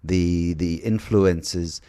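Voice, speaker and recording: A forty-something male host poses a long question to his guest, pausing with frequent ums and uhs. His voice sits very low. He sounds like he is working out the question as he speaks. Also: steady tone, warm tone, clear, resonant tone. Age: 40s. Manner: halting, many ums and uhs. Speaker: podcast host. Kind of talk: interview questions.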